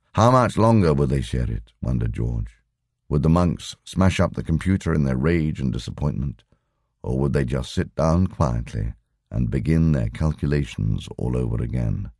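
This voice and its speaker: A man reads a story aloud in a very deep, dry voice. His pitch still moves lively through the sentences, and the delivery is steady and measured. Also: no echo. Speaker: audiobook narrator. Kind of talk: scripted narration.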